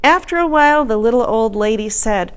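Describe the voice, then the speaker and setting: Female storyteller for a children's picture book: expressive, deliberate, widely varied pitch. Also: clear, resonant tone. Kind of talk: children's story read-aloud.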